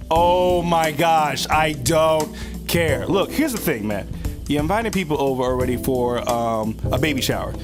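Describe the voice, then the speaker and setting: A man delivers a comedic rant as a segment host, exasperated and emphatic. His voice is fairly high and swings widely in pitch. Music plays clearly underneath.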